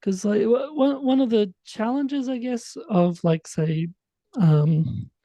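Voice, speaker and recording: A man's voice: high-pitched, takes it slowly, warm tone, no echo. A man, a writer-director guest chatting casually in an interview, rambling and full of fillers. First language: English.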